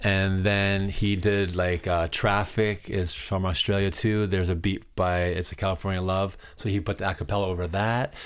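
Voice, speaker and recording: A man from Canada, a DJ guest in a podcast interview, speaking in a monotone, rambling way. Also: low-pitched, normal speed, few pauses, smooth. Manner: rambling, with many fillers and restarts. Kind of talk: casual interview chat.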